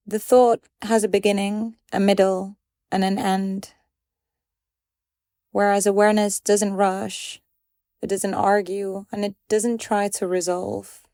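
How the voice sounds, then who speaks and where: A woman leading a guided meditation in a calm, gentle and measured way, leaving pauses between phrases. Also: mid-range voice, no echo, studio quality.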